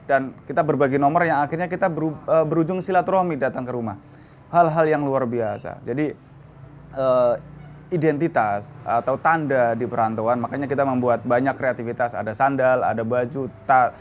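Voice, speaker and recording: Man, interviewee, earnest, hesitant fillers, mid pitch. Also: a little hiss or hum. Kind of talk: interview answers.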